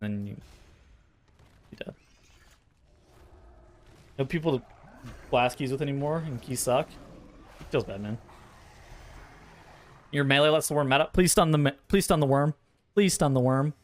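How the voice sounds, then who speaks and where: A man's voice: mid-range voice, measured pace, long pauses. A man giving casual, blunt gameplay commentary with swearing, thinking aloud as he explains strategy, his voice a little tired. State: voice tiring, sore throat. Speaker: streamer.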